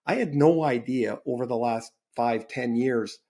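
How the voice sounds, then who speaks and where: A middle-aged man co-hosting a podcast on a video call, asking relaxed, conversational questions. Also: mid-range voice, unhurried. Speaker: co-host. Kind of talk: casual interview chat. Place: home office.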